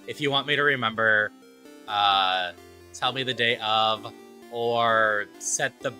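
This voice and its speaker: A man's voice: fairly low pitch, slow, bright, forceful tone, faint music behind. A man streaming a game, chatting casually in an easygoing, rambling way. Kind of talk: casual livestream chat.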